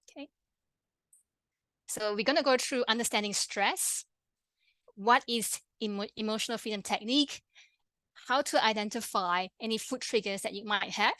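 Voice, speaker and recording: A woman with a Malaysian accent, a pharmacist and health coach, presenting an online workshop in a friendly, quick way with many fillers and restarts. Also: mid-range voice, fairly bright tone, dry recording. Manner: fast-talking, friendly, with frequent fillers and restarts.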